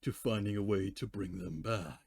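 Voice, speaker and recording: A man voicing a weary, sorrowful, ancient female pony in a fan fiction reading, speaking slowly and solemnly. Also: fairly low pitch, a little mellow, husky, no echo, voice pushed down. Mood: solemn regret. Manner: slow, deliberate, solemn.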